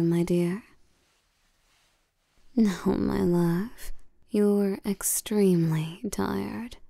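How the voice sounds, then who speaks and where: A woman playing a seductive, commanding vampire queen in an intimate roleplay audio, soft and breathy, teasing and condescending, often sinking toward a whisper. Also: mid-range voice, dry recording, studio quality. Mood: possessive, soothing. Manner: slow, teasing, domineering, with terms of endearment.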